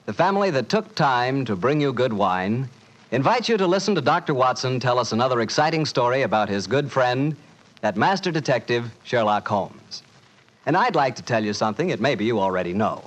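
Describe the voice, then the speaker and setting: A man's voice: mid-range voice, big pitch swings, brisk. A man with an American accent announcing in a folksy, salesmanlike 1940s radio style.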